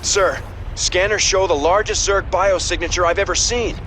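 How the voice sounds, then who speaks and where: Actor as urgent military officer amid noise. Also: male voice, sits high, steady tone, normal speed, natural voice. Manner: alert, urgent, clipped military delivery. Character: urgent, dutiful military officer reporting threats. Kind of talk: video game mission dialogue.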